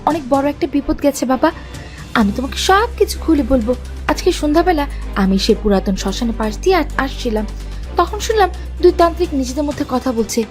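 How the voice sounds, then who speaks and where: A woman's voice: high-pitched, animated, fairly quick, soft background music. A woman voicing a brave young village girl in a fairy-tale cartoon, earnest and dramatic in manner. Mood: anxious.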